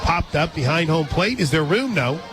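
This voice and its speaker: A man calling a softball game live on the radio, mixing pitch-by-pitch play-by-play with conversational asides. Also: big pitch swings, normal speed. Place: stadium radio booth at an outdoor ballpark. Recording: loud crowd and music behind. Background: loud ballpark crowd and music.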